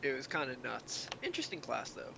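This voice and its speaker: A young man, a student and friend of the host, relaxed and amused as he tells a story in casual chat. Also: mid-range voice, brisk, creaky, noisy background.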